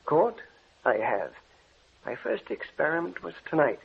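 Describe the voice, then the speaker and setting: A courteous scientist with dark secrets in a vintage radio play. He talks deliberately in a creaky voice that moves expressively up and down. The recording is tinny, with some hiss. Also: a little high, sing-song, heavily acted. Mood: ominous. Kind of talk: old-time radio drama acting.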